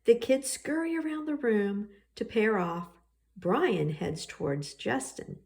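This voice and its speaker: A woman reading a children's picture book aloud as a storyteller, with expressive and deliberate phrasing. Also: medium pitch, creaky. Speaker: storybook reader.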